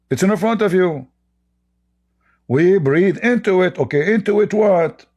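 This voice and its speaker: A man's voice: a little high, darkish tone. A man whose first language is Arabic, hosting in casual commentary, sarcastic and mocking, often repeating himself. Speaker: host.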